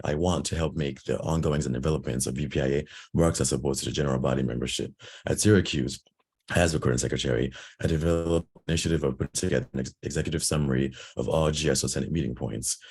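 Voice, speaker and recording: A man in his twenties, hoarse from losing his voice, giving an earnest candidate speech over a video call with many ums. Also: extremely low pitch, a little mellow. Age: 20s. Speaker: board candidate.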